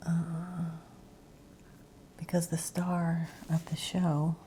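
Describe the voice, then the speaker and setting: A woman ASMR creator rambling in a breathy whisper mixed with soft, hushed speech, meandering as she goes. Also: on the low side, completely flat delivery, extremely slow, slight background noise, rough recording.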